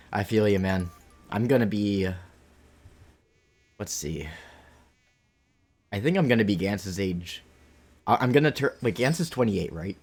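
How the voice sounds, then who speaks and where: Low, husky young man streaming casually. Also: a little mellow. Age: late 20s. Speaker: game streamer. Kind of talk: casual live gaming commentary and chat.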